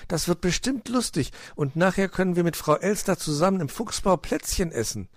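Man putting on an eager little fox boy, high-pitched. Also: lively intonation, normal speed, no echo, natural voice. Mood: delighted. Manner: earnest, childlike, eager.

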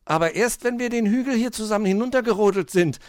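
A man's voice: high-pitched, animated, moderate pace, darkish tone, dry recording, studio quality, voice pushed up. A man voicing a playful, kindly young hare with a childlike manner in a children's story.

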